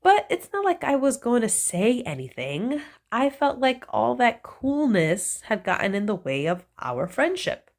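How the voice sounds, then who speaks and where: A woman reads a children's picture book aloud in an unhurried, storytelling way. Her voice swoops up and down with playful emphasis on the punchlines. The pace stays gentle and deliberate throughout. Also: fairly high pitch, sing-song.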